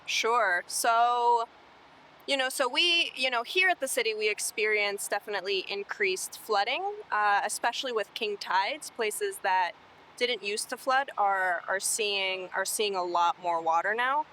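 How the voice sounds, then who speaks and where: A woman's voice: medium pitch, a little slow, pushed and bright, a little hiss or hum, low-quality recording. A young woman from Colombia who works on city sustainability, answering oral history interview questions earnestly and hopefully, with some fillers.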